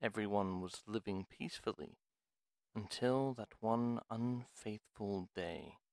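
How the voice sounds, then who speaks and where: A dry, close-sounding recording of a man acting a reluctant retired pharmacist from a fantasy audio drama. His voice sits on the low side and moves slowly. He is gruff and wary yet courteous, with formal, theatrical phrasing. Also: a little mellow, dry recording. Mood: solemn, reminiscing. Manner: formal, deliberate, weary, theatrical. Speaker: voice actor in roleplay audio. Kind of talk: dramatic audio roleplay monologue.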